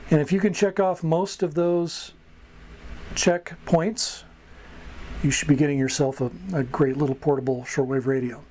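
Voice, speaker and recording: A middle-aged man giving a casual tutorial as host, speaking haltingly in short phrases with pauses. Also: a little high, steady tone, darkish tone. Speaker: host of a hobby radio tutorial. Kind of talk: casual whiteboard explainer. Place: small wood-paneled indoor room. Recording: clear background noise, room ambience.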